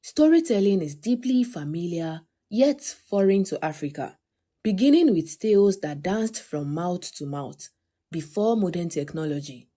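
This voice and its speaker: A woman narrating a scripted documentary in a measured, articulate way. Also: on the low side, animated, dark tone.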